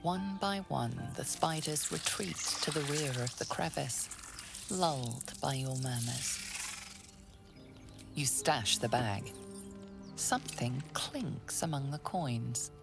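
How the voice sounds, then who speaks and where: An actress playing a grave, all-knowing fantasy game narrator with a measured, ominous delivery. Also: female voice, a bass voice, vocal fry, full character voice. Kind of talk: scripted second-person game narration. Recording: loud game music behind. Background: game music.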